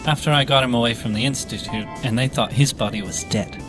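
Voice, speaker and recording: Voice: mid-range voice, a little fast, music in the background, natural voice. An actor playing a smug, boastful young schemer in an audio drama, cutting in on someone else's telling. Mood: matter-of-fact. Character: smug young man, proud schemer, interrupting.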